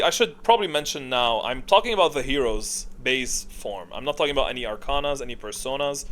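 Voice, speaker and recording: A man's voice: medium pitch, fairly bright tone, slight background noise. A man giving casual off-the-cuff gaming commentary, rambling as he thinks aloud and corrects himself. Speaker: video creator and gamer. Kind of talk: casual off-the-cuff commentary ranking game characters.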